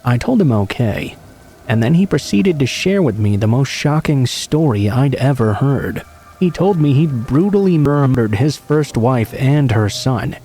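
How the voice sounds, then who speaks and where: A man calmly narrating true horror stories in an even, scripted read. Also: mid-range voice, breathy edge.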